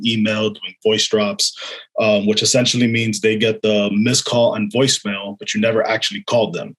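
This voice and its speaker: A man giving an explanatory software demonstration in an informal, unscripted way. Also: fairly low pitch, breathy edge, low-quality recording. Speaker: software developer giving a product demo.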